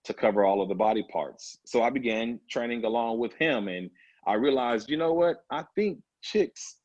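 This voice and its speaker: A man's voice: mid-range voice, moderate pace, a little mellow, no echo, muffled recording. A man in his thirties, a personal trainer, telling his story as a guest in a casual interview, with many fillers along the way. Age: 30s.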